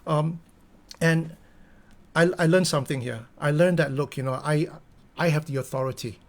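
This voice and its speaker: A man's voice: a little high, moderate pace. A middle-aged Singaporean man with a Singaporean English accent, giving an earnest motivational talk full of fillers and restarts. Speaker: company founder giving a talk.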